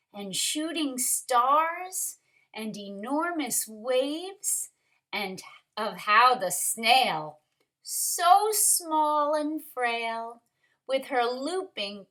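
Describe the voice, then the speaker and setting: A woman reads a children's storybook at a very slow pace. Her voice sits a little high and sounds bright. She is expressive and dramatic, addressing young listeners directly as a kindergarten teacher would. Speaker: kindergarten teacher reading aloud. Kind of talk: animated storybook read-aloud for young children.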